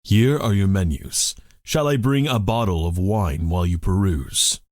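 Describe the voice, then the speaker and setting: A man's voice: sits low, unhurried, darkish tone, no room sound, clean studio sound. A man voicing a deferential, refined fine-dining waiter in a visual novel, courteous and formal. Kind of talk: visual novel character acting.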